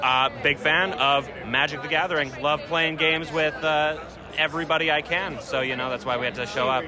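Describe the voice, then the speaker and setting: A man from Canada attending a convention, answering with dry, deadpan humor. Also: on the high side, moderate pace. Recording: crowd noise on a convention floor.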